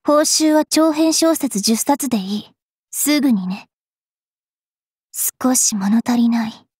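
A young fairy witch knight from an anime game, haughty and bookish. The voice is high and a little husky, delivered slowly, slipping from prim manners into rough, sharp-tongued taunts. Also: lively intonation, dry recording, clean studio sound, full character voice. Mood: smug, composed. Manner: switches between prim politeness and rough, taunting speech. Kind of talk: anime mobile game character voice lines.

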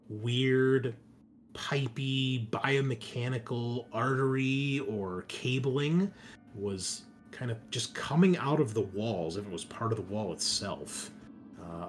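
A man with a lowish voice narrates a tabletop game recap as game master. He is informal and sweary, pausing mid-phrase and stretching descriptive words. Light noise sits behind his voice. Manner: casual, sweary, conversational asides. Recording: clear background noise, room ambience.

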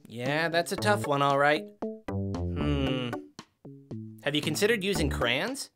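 A woman's voice: low voice, expressive, slow, music in the background. A woman voicing a small fuzzy puppet friend in a kids' show, patient, sensible and encouraging as she explains things. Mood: helpful. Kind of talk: children's puppet show dialogue.

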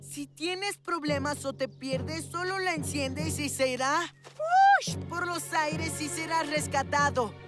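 A woman voicing a mischievous, excitable young boy in a Latin American Spanish cartoon dub. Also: high voice, slow pace, over loud music. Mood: excited. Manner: cheeky, eager, exclamatory.

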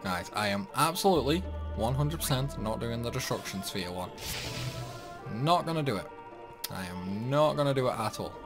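A man's voice: mid-range voice, animated, moderate pace. A man giving casual playthrough commentary on a video game, rambling along with grumbling asides. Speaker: gaming video host. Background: game music.

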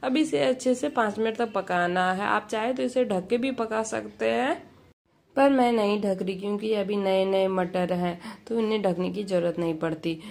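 A woman with a slightly creaky voice, presenting a recipe in voice-over as a home cook. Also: mid-range voice, normal speed, a little mellow.

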